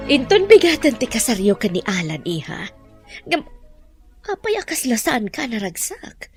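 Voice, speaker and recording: A woman's voice: fairly high pitch, sing-song, normal speed, darkish tone. A woman playing a concerned, firm middle-aged mother in an Ilocano melodrama, with an Ilocano accent and music behind her. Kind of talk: Ilocano radio-style melodrama acting.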